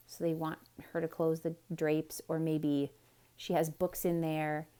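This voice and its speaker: A woman storyteller reading aloud theatrically for children, pausing to explain things simply and ask questions. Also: low-pitched, warm tone. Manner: explains simply, chatty asides. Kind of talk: theatrical read-aloud, asking young listeners questions.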